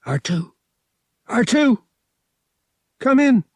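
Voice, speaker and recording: A man's voice: very high-pitched, sing-song, extremely slow, dry recording, pitched above the performer's own voice, full character voice. A man voicing a tough, impatient young space pilot who speaks curtly and gives orders under strain. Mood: desperate, angry. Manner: curt, commanding.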